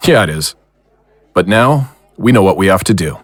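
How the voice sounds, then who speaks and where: A man playing a serious, reassuring investigator of the occult in an audio drama, measured and authoritative. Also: low-pitched, animated, no room sound, faint music behind. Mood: serious, intrigued.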